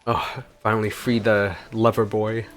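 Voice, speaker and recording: A man's voice: fairly low pitch, level tone, unhurried, faint music behind. A man giving casual, exclamatory gameplay commentary, informally thinking aloud as he plays. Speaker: gaming video creator.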